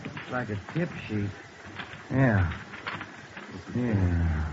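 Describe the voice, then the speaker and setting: A plain-spoken American detective with a slow, matter-of-fact delivery. It is old radio drama acting, on a dull recording with clear noise. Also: male voice, lowish voice, animated. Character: plain-spoken male police partner.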